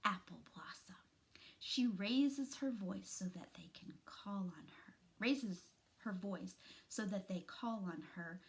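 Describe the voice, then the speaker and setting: A middle-aged woman reading a story aloud to children in an expressive, deliberate manner. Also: medium pitch. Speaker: children's story reader, teacher. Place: small room at home, indoors.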